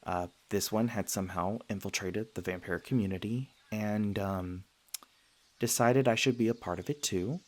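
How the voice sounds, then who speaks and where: A low-pitched, soft-hearted male vampire, played by a man, speaks closely to one listener in an ASMR roleplay. He is earnest and self-conscious, often hesitating or stammering as he gently explains himself. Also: moderate pace, natural voice. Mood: hesitant, somber. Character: gentle, self-conscious male vampire, century old but youthful, sweetly flustered.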